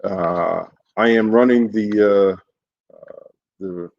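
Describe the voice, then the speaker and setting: A man, an imam, giving a livestreamed sermon in an earnest, halting way, with many fillers and restarts. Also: fairly low pitch, gravelly, muffled recording. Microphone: solo livestream setup.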